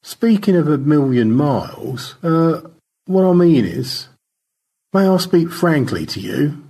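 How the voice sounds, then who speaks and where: A man's voice: on the high side, big pitch swings, slow pace, vocal fry, mellow, husky, voice pushed up, full character voice. A man voicing an earnest, fussy space crewman in an audio story, speaking in a precise, formal, politely roundabout way. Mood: earnest, awkward. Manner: precise, circumlocutory, hesitantly polite.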